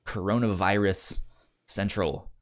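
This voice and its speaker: A man's voice: fairly low pitch, steady tone, slow pace. A man hosting a podcast in a casual, chatty style, often stammering and repeating words and syllables as he goes. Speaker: podcast host.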